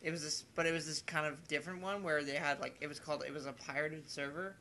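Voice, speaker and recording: A young man with a fairly high, bright voice chats casually and circles back over his own phrases. He sounds like a guest on an amateur podcast, with some noise in the background. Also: steady tone, fast talker. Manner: rambling, repeats and rephrases himself. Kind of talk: casual chat about video games.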